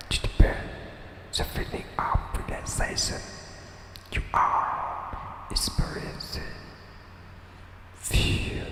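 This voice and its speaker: A man whispering a guided breathing meditation in a slow, soothing way, leaving long pauses. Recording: echoey room with long reverb.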